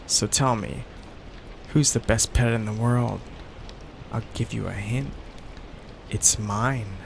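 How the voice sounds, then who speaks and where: A man playing a soft-hearted young mafia heir in an intimate ASMR roleplay, tender and coaxing, hesitant and possessive as he seeks reassurance. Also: mid-range voice, creaky, a little hiss or hum, exaggerated voice.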